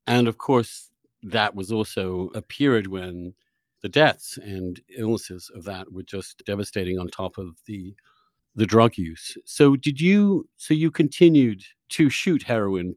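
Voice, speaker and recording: A man's voice: fairly low pitch, animated, measured pace, dry recording. Probing male interviewer, dry close sound. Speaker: interview host. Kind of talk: conversational interviewing.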